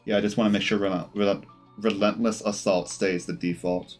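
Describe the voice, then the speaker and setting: A man doing casual live game commentary, thinking aloud in a halting, self-correcting way as he talks to his chat. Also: on the low side, level tone. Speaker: game streamer. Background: game music.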